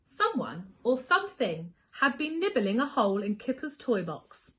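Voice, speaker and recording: A woman's voice: on the high side, big pitch swings, moderate pace. A woman reading a children's story expressively as a school storyteller, leaning on key words for young listeners.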